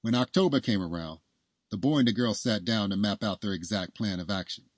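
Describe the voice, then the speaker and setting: A man's voice: fairly low pitch, fairly quick, no room sound. A man reading a scripted story as its narrator.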